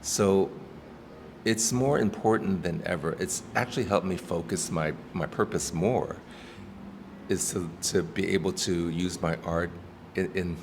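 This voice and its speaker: A man with a low voice speaks earnestly and thoughtfully, pausing to restart his sentences. He is recorded in a restaurant with lingering reverb. Clear background noise sits under his voice. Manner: earnest, reflective, with many restarts and ums. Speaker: actor and activist guest. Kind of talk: casual interview talk.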